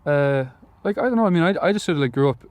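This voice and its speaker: A man's voice: a little high, expressive, very fast, darkish tone, clean tone, dry recording. A young man from Galway with an Irish accent, answering interview questions hesitantly with many fillers and restarts. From Ireland, Galway. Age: young adult. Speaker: musician guest.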